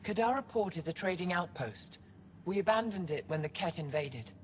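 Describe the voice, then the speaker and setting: An actress playing a stern elder alien scientist in a video game, speaking formally and bluntly with authority. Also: female voice, on the low side, animated, normal speed, a little hiss or hum, lightly acted. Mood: firm. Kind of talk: video game cutscene dialogue.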